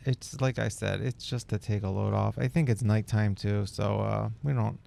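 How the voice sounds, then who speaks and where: A man's voice: lowish voice, fairly even intonation, moderate pace, creaky, mellow. A man hosting a gameplay video, giving casual, rambling, laid-back commentary in character.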